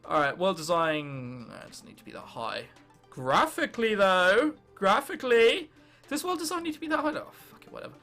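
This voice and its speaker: A man in his late teens with an English accent giving casual, sarcastic gameplay commentary, self-mocking and freely sweary, with an excitable delivery. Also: high-pitched, normal speed, faint music behind. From England. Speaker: gaming video host.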